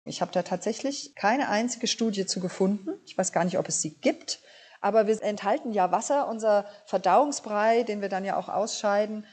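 A woman's voice: mid-range voice, moderate pace, a little mellow. A woman speaking as an expert guest, explaining informally and simply for children.

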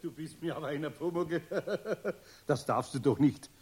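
An actor playing a kindly, flustered older master carpenter in a children's radio play, with a husky voice and Bavarian accent, often muttering or exclaiming. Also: male voice, on the high side, unhurried, darkish tone, a little hiss or hum, lightly acted. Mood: mock scolding. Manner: muttering to himself, hesitant, exclaiming.

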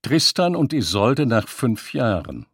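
A man with a husky voice announcing scripted titles. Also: medium pitch, very animated, a little slow, no room sound, studio quality.

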